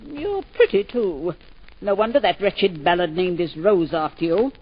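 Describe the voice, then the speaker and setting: An actress playing a proud, sharp-tongued older aristocratic grandmother, speaking with crisp, imperious, dry wit in 1940s radio acting. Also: lowish voice, animated, unhurried, a little hiss or hum. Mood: grudging. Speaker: titled lady. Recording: dull 1940s radio broadcast.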